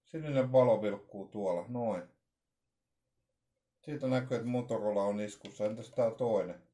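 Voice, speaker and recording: A man in his forties talks to a desk webcam in a small room at home while he unboxes gear. His voice is mellow and dark, and he thinks aloud as he goes. He halts often, restarts his sentences and fills the pauses with hesitations. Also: fairly low pitch. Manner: halting, thinking aloud with many fillers and restarts. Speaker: vlogger unboxing radios. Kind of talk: casual unboxing commentary.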